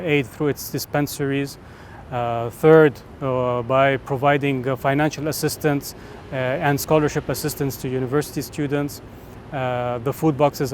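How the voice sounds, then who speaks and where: A man from Lebanon, a committee member of a community organization, giving an interview in a steady voice with frequent pauses. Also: mid-range voice, a little hiss or hum. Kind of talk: interview testimony.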